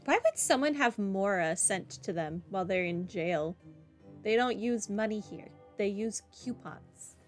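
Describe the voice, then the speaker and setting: A woman's voice: medium pitch, lively intonation, unhurried, faint music behind. A woman giving casual commentary as she plays a video game, with dry, wry asides. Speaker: gamer doing a playthrough.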